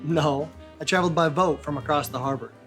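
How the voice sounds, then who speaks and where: A man playing a smooth old-time charmer. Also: on the high side, brisk, fairly bright tone, soft background music, lightly acted. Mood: breezy. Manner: breezy, old-fashioned patter. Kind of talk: comedic noir skit acting.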